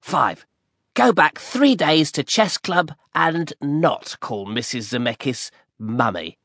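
A man voicing an earnest, excitable ten-year-old boy who is the best friend. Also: on the high side, slow pace, no room sound, studio quality, heavily acted. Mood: eager.

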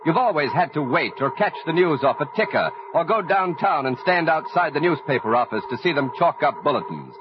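A man's voice: a little high, animated, a little fast, few pauses, background noise. A man giving dramatic scripted narration in mid-century radio style, portentous and addressing the listener directly. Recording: dull vintage radio recording.

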